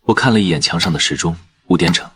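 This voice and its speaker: A man narrating in the first person as a calm surgeon, in measured scripted narration. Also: low voice. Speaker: narrator and lead character, a surgeon.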